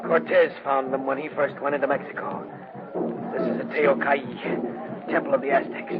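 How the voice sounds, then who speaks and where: A man's voice: medium pitch, level tone, moderate pace, a loud crowd, natural voice. A male actor playing a level-headed, knowledgeable expedition member, earnest and grave, in 1950s radio drama style. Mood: grim. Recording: noisy, muffled old radio recording.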